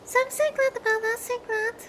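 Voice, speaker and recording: A woman's voice: extremely high pitch, flat delivery, brisk, noisy background. A woman chatting casually on a livestream, gushing and repeating words for emphasis. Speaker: streamer.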